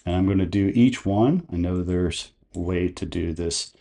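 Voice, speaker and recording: A man hosting a tutorial, narrating software steps one by one. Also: sits low, moderate pace, creaky. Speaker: tutorial host.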